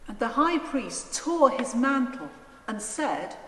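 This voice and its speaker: A woman narrating a dramatised scripture reading in a solemn, deliberate manner. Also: on the high side, sing-song, fairly bright tone. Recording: echoey church, long reverb, faint noise.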